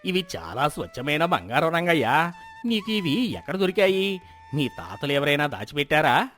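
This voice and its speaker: Guy doing a shrewd merchant in a moral story, probing, lively intonation, music under. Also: on the high side, normal speed. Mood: curious, welcoming. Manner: probing, familiar. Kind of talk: animated moral-story dialogue.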